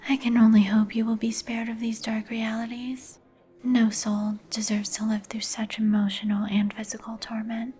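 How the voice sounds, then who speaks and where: A woman playing a melancholy, courteous vampire in a breathy whisper, with formal, old-fashioned phrasing for an ASMR roleplay. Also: on the high side, monotone, moderate pace, dark tone, close to an everyday voice. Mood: gloomy, cautioning. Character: melancholy, courteous vampire woman, turned young some 150 years ago. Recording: music behind the voice. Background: music.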